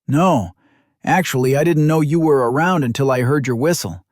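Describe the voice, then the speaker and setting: A man voicing a quick, jittery young male archer scout in an audiobook, his talk a bit spastic. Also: mid-range voice, darkish tone, no echo, studio quality, pitched above the performer's own voice, natural voice. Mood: urgent reporting.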